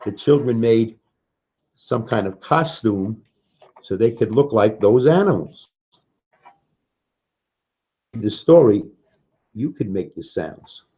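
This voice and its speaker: A man with a low, husky voice reads a picture book to young children. He takes his time, swinging into sing-song refrains and pausing to put simple questions to his listeners. The recording is a little dull and lo-fi. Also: lively intonation, mellow. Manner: slow, simple explanations for children. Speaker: children's story reader. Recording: dull, muffled lo-fi sound.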